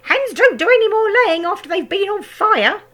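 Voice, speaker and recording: A woman's voice: wildly swooping pitch, unhurried, exaggerated voice. A woman doing a shrieking old grandmother. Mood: scolding shriek. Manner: shrill, shouting, cackling. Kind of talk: storybook reading.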